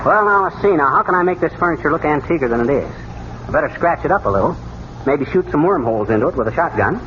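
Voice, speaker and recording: An actor playing a blustery, good-natured, scheming small-town husband who wisecracks in folksy fashion in 1930s radio comedy. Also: male voice, on the high side, animated, quick pace, fairly bright tone, a faint crowd. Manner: folksy, muttering to himself, wisecracking. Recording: very noisy, muffled 1930s radio broadcast.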